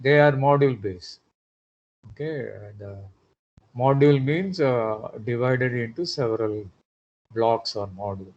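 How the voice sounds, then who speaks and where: A man giving an online lecture, pausing often on "uh" and asking rhetorical tag questions. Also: medium pitch, darkish tone, dull audio.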